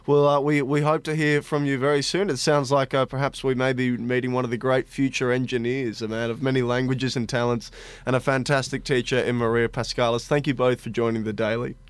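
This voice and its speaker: A man's voice: medium pitch, little pitch movement, fairly quick, hardly any pauses, smooth, no room sound. A man hosting a studio interview in a courteous, appreciative way. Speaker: radio interview host.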